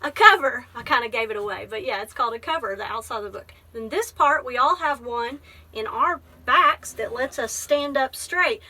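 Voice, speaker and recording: Bright high-voiced woman reading to children. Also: expressive, moderate pace. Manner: explains simply, prompts with questions. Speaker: library teacher. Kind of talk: children's book read-aloud and teaching.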